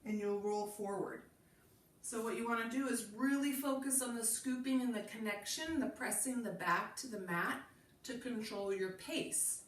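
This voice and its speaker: A woman's voice: on the high side, moderate pace, reverberant, far from the mic. Congested woman cueing a Pilates class. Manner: calm, demonstrating while cueing movements. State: congested from a cold. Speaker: Pilates instructor. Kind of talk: guided exercise class instruction.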